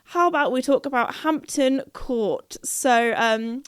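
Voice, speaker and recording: Young woman hosting her podcast, rambling and chatty, a little croaky from a cold, with a higher-than-average voice. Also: takes it slowly, dry recording, clean studio sound. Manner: rambling, jumps between topics, many fillers. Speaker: podcast host. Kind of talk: casual solo podcast chat.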